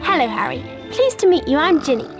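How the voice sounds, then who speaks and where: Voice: high voice, big pitch swings, a little fast, gravelly, music in the background, exaggerated voice. An actor playing a shy, polite little witch girl in a video game, speaking earnestly. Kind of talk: video game character acting.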